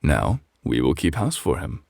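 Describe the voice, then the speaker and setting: A man voicing a sly, lazy male possum or raccoon from a bedtime story, speaking in a hushed, smug drawl. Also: a bass voice, big pitch swings, normal speed, vocal fry, no echo, studio quality, heavily acted. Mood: sly, conspiring.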